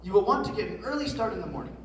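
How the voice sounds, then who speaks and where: A man playing a timid, excitable, well-mannered hobbit in a flustered, emphatic readers theatre performance. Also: high voice. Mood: flustered politeness. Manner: exclaiming, flustered, slow and emphatic. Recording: distant mic in echoey hall.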